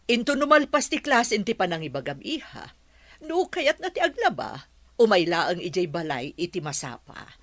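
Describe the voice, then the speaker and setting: A woman's voice: lowish voice, sing-song, normal speed, no echo. A woman with an Ilocano accent playing a practical, kindly village teacher and employer in an audio drama. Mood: friendly. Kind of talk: Ilocano radio-style drama acting.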